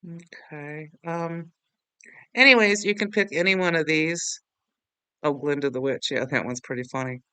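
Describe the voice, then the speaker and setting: Chatty, rambling man with a smooth voice. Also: fairly high pitch, moderate pace, sharp tone, dry recording. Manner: rambling, chatty, self-deprecating. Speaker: tutorial host. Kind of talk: casual screen-recorded software tutorial.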